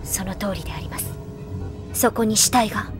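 A woman voicing a stiff, solemn woman with deadpan, very formal speech in an anime audio drama. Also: mid-range voice, moderate pace, music in the background, natural voice.

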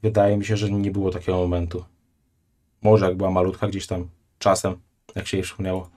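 A man's voice: low-pitched, level tone, brisk. Male streamer, thirties, blunt and indignant reaction commentary, small room. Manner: blunt, indignant, often drops to a quiet disgusted tone.

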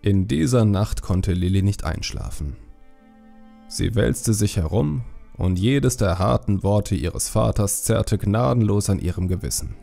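A man's voice: on the low side, vocal fry, warm tone. A man reading scripted fantasy story narration as an audiobook narrator.

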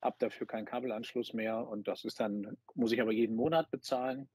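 A man's voice: mid-range voice, steady tone, a little fast, a little mellow, husky. A middle-aged man hosting and interviewing in a casual, chatty style from an office. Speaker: host, interviewer. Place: small office indoors.

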